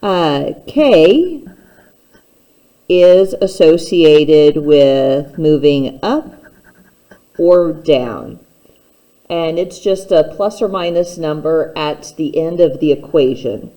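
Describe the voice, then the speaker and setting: A woman's voice: low voice, warm tone. A woman teacher giving a step-by-step instructional math lesson, speaking plainly and patiently straight to the learner.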